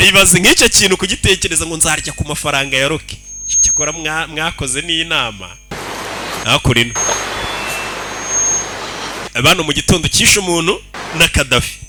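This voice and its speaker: A man with a Rwandan accent giving excitable, exclamatory live film commentary and translating the dialogue. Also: a little high, brisk, pauses often. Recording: loud film music and soundtrack behind. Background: music and film soundtrack.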